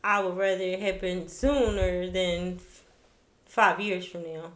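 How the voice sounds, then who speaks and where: A woman's voice: fairly low pitch, fairly even intonation, unhurried, a bit bright. A woman co-hosting a podcast, chatting casually and teasing her partner playfully. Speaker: podcast co-host. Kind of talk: casual chat. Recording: echoey room.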